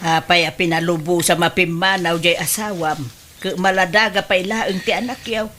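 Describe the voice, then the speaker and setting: A woman's voice: lowish voice, steady tone, normal speed, over music, close to an everyday voice. A woman with an Ilocano Filipino accent playing a dismayed, lamenting grandmother in an audio drama. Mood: dismayed, scolding. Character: dismayed, lamenting mother of a grown son, a grandmother.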